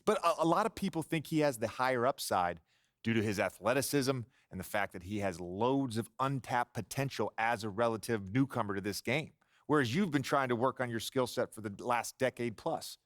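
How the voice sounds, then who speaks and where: Man doing a sports pundit, analytical, animated pitch swings, middle pitch. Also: a little fast, no echo, studio quality, close to an everyday voice. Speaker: sports talk show panelist. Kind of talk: video game cutscene voice acting.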